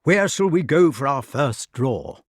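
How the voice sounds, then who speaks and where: A man reading an audiobook, voicing a flustered, blustering old country knight who stammers and rushes his words. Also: medium pitch, big pitch swings, dry recording, clean studio sound, pitched above the performer's own voice. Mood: anxious. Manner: stammering, anxious, hasty. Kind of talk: audiobook character voice.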